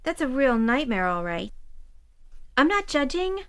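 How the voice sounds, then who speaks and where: A blunt young college woman, acted by a woman in an audio drama. Her voice is high, and she talks in a slangy, sceptical way as the straight-shooting roommate. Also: big pitch swings, unhurried, smooth, full character voice. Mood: sceptical, scolding.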